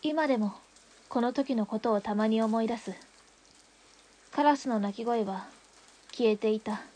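A woman playing a wounded girl who narrates her memories in a soft, reflective way. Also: on the high side, unhurried, lightly acted. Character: wounded girl hostage, later recalling as narrator. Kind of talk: radio drama narration.

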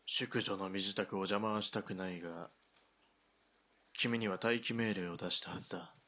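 A calculating middle-aged officer performed by a man in a military radio drama. He has a low, raspy-breathy voice and talks down to others in measured phrases. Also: fairly even intonation, bright, forceful tone. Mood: stern. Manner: measured, condescending officer's speech. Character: smooth-talking, calculating middle-aged army lieutenant colonel.

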